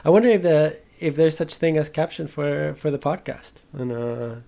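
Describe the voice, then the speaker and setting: A man co-hosting a film podcast, talking casually and haltingly, with some informal swearing. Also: on the high side, gravelly, mellow.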